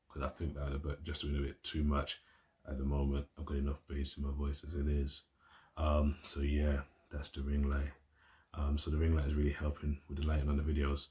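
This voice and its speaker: A man's voice: a bass voice, darkish tone. A man chatting casually to his phone camera at home, rambling and enthusiastic as he shows off his gear. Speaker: YouTuber. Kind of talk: casual vlog talk to camera. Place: indoors at home, at a table. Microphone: phone camera, built-in mic.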